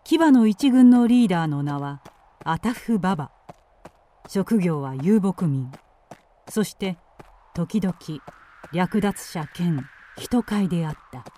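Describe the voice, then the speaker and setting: A woman's voice: lowish voice, animated, slow pace, dark tone, a little hiss or hum. A woman reading scripted narration for a radio drama.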